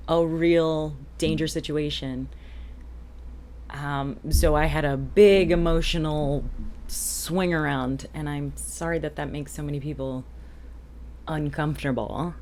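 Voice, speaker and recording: A woman talking casually as a boat captain and vlog host, explaining things candidly with self-deprecating, animated asides. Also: low voice.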